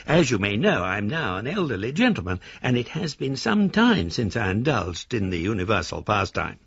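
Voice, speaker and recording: Elderly British gent, florid and pompous, radio play; husky voice, dry slightly dull recording. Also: male voice, fairly low pitch, sing-song, moderate pace, fairly bright tone, no echo, full character voice. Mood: gleefully pompous. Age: older. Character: verbose, self-satisfied elderly gentleman, an amateur philosopher. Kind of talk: radio drama letter monologue.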